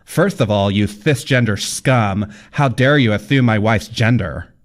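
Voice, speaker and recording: A man's voice: fairly low pitch, measured pace, natural voice. A man voicing a lecturing bearded male thug with a bad lisp.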